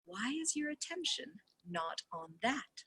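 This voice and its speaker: A woman's voice: a little high, sing-song, a little slow, bright, forceful tone, slightly rough. A woman hosting a book read-along with casual asides, effusive and dramatically emphatic, drawing out words. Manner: effusive, gushing delivery. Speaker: host reading a book aloud.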